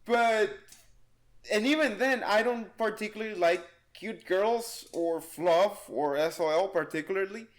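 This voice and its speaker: A man chatting casually as a podcast host, excitable and prone to exclaiming. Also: high voice, unhurried.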